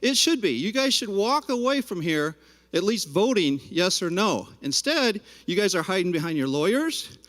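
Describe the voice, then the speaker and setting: Parent at the podium: man with a high voice, combative and pointed, echoing meeting hall. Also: big pitch swings, moderate pace, a bit bright. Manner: confrontational, addressing board members directly. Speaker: parent giving public comment. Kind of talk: public comment at a school board meeting. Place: school board meeting room. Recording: reverberant hall.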